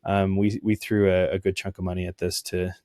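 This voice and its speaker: A man's voice: deep voice, flat delivery, a little fast, a little mellow, no echo, clean studio sound. A young man, an indie game developer, talking casually in an interview and rambling with many fillers and restarts.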